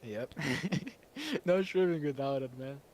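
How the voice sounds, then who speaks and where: A man's voice: fairly high pitch, big pitch swings, slow pace, a little mellow. A man whose first language is Georgian, hosting a stream in casual chat, laughing often and rambling with fillers. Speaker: stream host.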